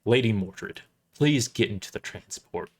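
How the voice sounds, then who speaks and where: A man voicing a giant armored crusader in an audio drama, speaking in a formal, measured and blunt way. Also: lowish voice, animated, a little mellow. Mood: formal, concerned.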